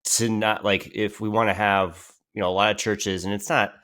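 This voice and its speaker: A man's voice: fairly low pitch, level tone, normal speed, fairly bright tone. A man hosting a podcast, responding to his guest in a reflective, thoughtful way. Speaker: podcast host. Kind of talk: casual podcast chat.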